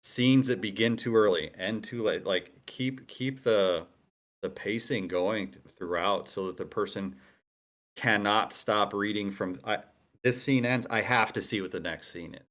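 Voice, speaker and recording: Low-voiced man explaining emphatically. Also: measured pace, a bit bright. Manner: emphatic, explains at length with repetition. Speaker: screenwriter giving feedback. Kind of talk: casual group discussion of scriptwriting. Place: online meeting room.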